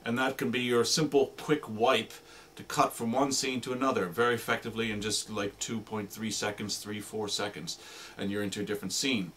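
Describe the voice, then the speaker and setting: A man's voice: on the low side, fairly even intonation, fairly quick, fairly bright tone, a little hiss or hum. A man giving an informal, explanatory demonstration of radio drama sound effects, piling up descriptive words as he talks.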